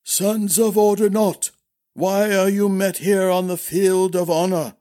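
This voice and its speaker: A man voicing a very old, forgetful master-at-arms in a storybook, with a raspy, gruff and formal manner. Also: sits high, flat delivery, unhurried, dark tone, pitched above the performer's own voice. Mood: gruff, stern. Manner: formal, grumbling, hard of hearing.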